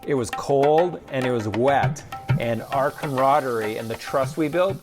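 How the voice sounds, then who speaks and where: A man, an ultramarathon runner, speaking in a reflective, measured way in a documentary interview. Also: mid-range voice. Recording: music bed under the voice.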